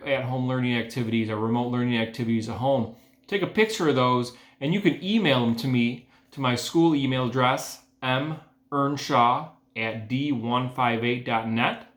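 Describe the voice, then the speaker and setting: A man's voice: medium pitch, a bit bright. A man, an educator, talking casually to students and narrating a children's storybook aloud. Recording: echoey room.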